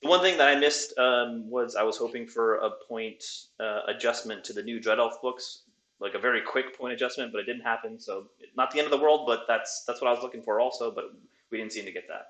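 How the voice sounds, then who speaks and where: A man hosting a casual chat about a tabletop wargame, talking in a relaxed, halting way with many fillers. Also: mid-range voice, roomy sound, poor audio. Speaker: podcast host. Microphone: new desktop microphone.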